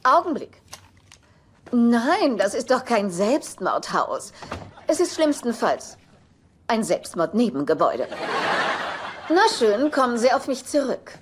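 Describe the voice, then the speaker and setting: A woman's voice: fairly high pitch, sing-song, slow, pauses often. An actress playing a sly, self-serving older grandmother and estate agent, haughty, blunt and theatrical, in dubbed sitcom dialogue. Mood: sales patter. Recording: noisy TV soundtrack.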